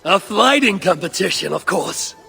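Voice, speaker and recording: An actor playing a blunt, hot-headed male Viking warrior in a video game cutscene, husky and dryly terse. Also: sits high, animated, normal speed, bright, forceful tone, soft background music, full character voice. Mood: dry, challenging.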